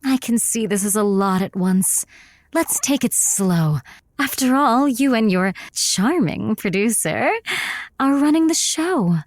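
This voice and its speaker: An actress playing a flattering, pampered pregnant rich girlfriend in an audio comedy, sweetly manipulative and affected. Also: on the high side, unhurried, dry recording. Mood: sweetly pushy. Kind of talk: audio comedy drama acting.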